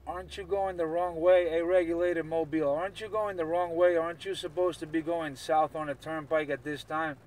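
A man's voice: fairly high pitch, fairly even intonation, fairly quick, hardly any pauses. A man chatting casually in CB radio slang, often repeating his phrases. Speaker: CB radio operator. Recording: distant mic, noisy radio hiss.

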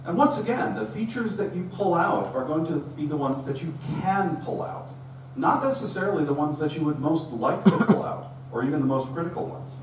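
A man's voice: fairly high pitch, expressive, a little fast. Man giving a talk in an echoey room. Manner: conversational storytelling, acts out quoted dialogue. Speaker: conference speaker. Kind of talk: live technical talk. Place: meetup room with audience. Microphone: room recording from far camera. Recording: roomy, distant, faint hum.

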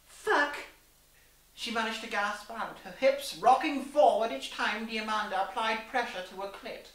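A young woman reads a story aloud in a theatrical, deliberate manner. Her voice is bright and forceful. The sound is echoey and distant from the microphone, as in a plain room. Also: a little high. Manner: theatrical, deliberate, with emphatic character dialogue. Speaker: reader and host in costume. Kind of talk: dramatised reading of fan fiction. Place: small plain room indoors. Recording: roomy echo, distant camera microphone.

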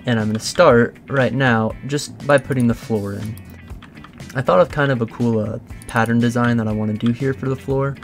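A man's voice: medium pitch, steady tone, normal speed, soft background music. A man hosting a gaming let's play, explaining his build in an informal, chatty way as he thinks aloud. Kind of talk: casual gameplay commentary, explaining a build.

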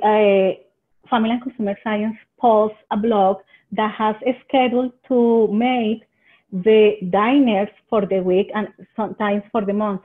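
Colombian-accented woman over a tinny call. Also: mid-range voice. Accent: Colombian Spanish. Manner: earnest, halting non-native phrasing. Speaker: extension agent presenter. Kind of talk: webinar presentation. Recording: tinny phone-like webinar audio.

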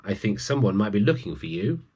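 A man's voice: lowish voice, big pitch swings, brisk, mellow, breathy edge. A man reading a storybook as a brave, determined young girl with an earnest manner. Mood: gentle, concerned.

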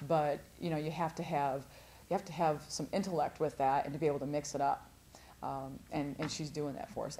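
A woman in her forties, a head volleyball coach, answering postmatch questions in an earnest, halting way with many restarts. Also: low-pitched, a little mellow, a little hiss or hum. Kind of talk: postmatch interview. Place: studio before a sponsor backdrop.

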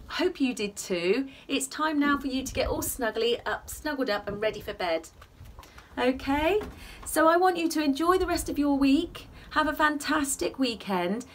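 A woman reads a picture book to young children in an animated, singsong, questioning way. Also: sits high, far from the mic, a little hiss or hum. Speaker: children's bedtime story reader. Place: small attic room at home, indoors. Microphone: camera a short distance away.

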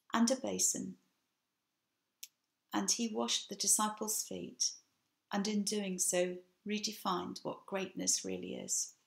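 Older English woman, sixties, reading a home sermon to webcam; earnest, gravelly, roomy sound. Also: medium pitch. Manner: measured, earnest delivery. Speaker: preacher. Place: home room with bookshelf, indoors. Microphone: webcam, seated facing camera. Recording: echoey room.

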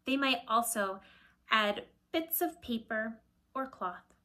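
A woman in her thirties reading aloud to children like a teacher, deliberate and expressive, with a breathy voice that often drops to a hush. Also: fairly high pitch. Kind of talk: children's picture-book read-aloud. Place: small indoor room at home with shelves.

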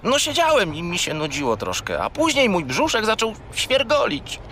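An actor playing a naughty, chatty little furry companion who rambles and whines naively in a children's radio play. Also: male voice, high-pitched, huge pitch swings, moderate pace, a bit bright, a little hiss or hum, heavily acted. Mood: naive, rambling. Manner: rambling, naively evasive, whiny.

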